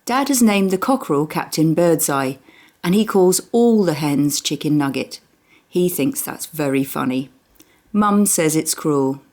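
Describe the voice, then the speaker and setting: Low-pitched woman reading a children's story. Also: animated, unhurried, darkish tone. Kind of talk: expressive children's audiobook narration.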